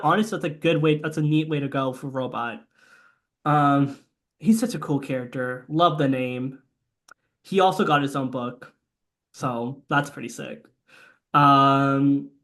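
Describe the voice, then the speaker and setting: A young man co-hosting a casual movie podcast, recapping the plot with lots of swearing and excitement. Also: fairly high pitch. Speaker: podcast co-host.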